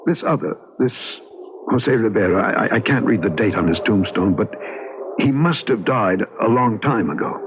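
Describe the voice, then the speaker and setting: A thin, noisy old radio recording carries an actor playing a haunted, agitated man. His voice is fairly low, earnest and dramatic, as if gripped by an obsession. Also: animated, phone-quality sound, close to an everyday voice. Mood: bewildered, urgent. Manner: earnest, dramatic, halting when pressed. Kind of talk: radio drama acting with first-person narration.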